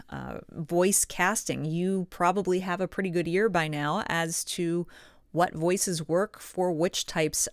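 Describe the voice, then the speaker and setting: A woman with a slightly low, clean and resonant voice speaks as a coach hosting an advice talk. She is encouraging and puts firm emphasis on her points. The sound is close and very dry. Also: no echo. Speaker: voice-over coach and channel host. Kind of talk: instructional advice talk to viewers.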